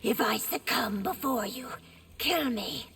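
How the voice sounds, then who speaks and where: An actress playing a resolute, haunted, afflicted empress in a video game, with intense and deliberate delivery. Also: female voice, fairly high pitch, expressive, very noisy. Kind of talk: dramatic video game acting.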